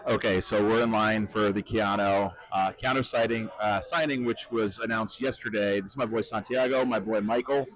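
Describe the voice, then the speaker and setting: A man asks quick, friendly questions in an easygoing interview. His voice sits a little low and stays upbeat throughout. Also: fairly even intonation, fairly bright tone, rough recording. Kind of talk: casual on-the-spot interview.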